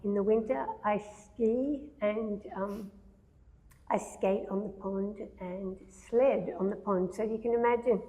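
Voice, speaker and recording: A soft-spoken woman, a painter talking about her work in a casual conversation at an art gallery, pausing often with fillers. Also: medium pitch, fairly even intonation. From Australia. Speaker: painter discussing her exhibition. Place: art gallery, large room. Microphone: handheld microphone. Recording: long reverb in a gallery hall.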